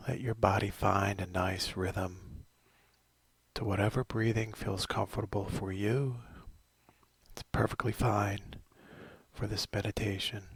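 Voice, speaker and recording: Gravelly, husky man guiding meditation. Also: fairly low pitch, no echo. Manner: slow, soothing, often drops to a whisper. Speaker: meditation guide. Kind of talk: guided meditation.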